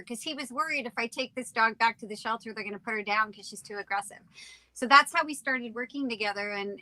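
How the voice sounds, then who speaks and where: Female interview guest, casual storyteller. Crisp bright tone, slightly high pitch. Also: no room sound, low-quality recording.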